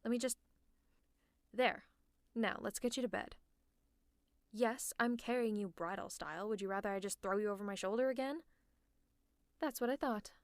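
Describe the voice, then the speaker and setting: A woman's voice: medium pitch, moderate pace, pauses often, no echo, lightly acted. A woman playing a protective, teasing female villain in a scripted ASMR roleplay, with a sardonic, exasperated but caring manner. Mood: worried, bossy. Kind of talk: scripted roleplay ASMR, one-sided dialogue.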